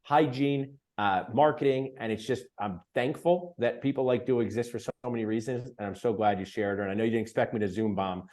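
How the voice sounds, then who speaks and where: A man's voice: mid-range voice, a little fast, few pauses, a little mellow. A man who joins a video call to give an eager testimonial as a business owner. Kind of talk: enthusiastic testimonial.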